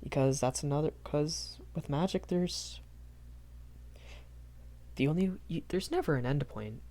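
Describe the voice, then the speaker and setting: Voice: mid-range voice, a little slow, warm tone, close to an everyday voice. A performer voicing a shy, earnest young magic academy professor in a rambling audio roleplay, hesitant and often self-correcting. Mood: thoughtful. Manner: hesitant, self-correcting, apologetic, nervous chuckles. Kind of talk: improvised character audio roleplay, rambling lecture.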